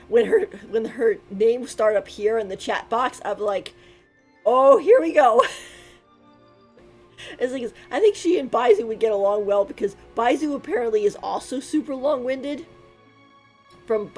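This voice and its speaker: Chatty woman streamer with a highish voice. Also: darkish tone, husky. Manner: chatty, playful. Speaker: game livestreamer. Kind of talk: casual live reaction commentary.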